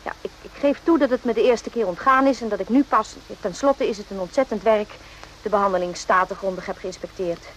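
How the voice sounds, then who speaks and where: A woman playing a guarded, correct doctor in a radio play, speaking in a measured, formal way. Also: mid-range voice, lightly acted. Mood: firm, insistent. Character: uneasy, firm female army doctor, correct and guarded. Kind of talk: radio drama acting with narration. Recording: noisy old radio play recording.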